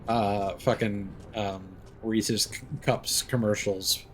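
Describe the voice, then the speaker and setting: A man's voice: fairly low pitch, little pitch movement, slow pace, slight background noise, low-quality recording. A man streaming a game with casual commentary, thinking aloud as he plays.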